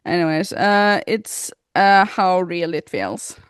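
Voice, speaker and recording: A woman's voice: lowish voice, expressive, slow, smooth, no room sound, studio quality. Giggly woman co-host in casual chat. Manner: giggly, laughs often while talking. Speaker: podcast co-host.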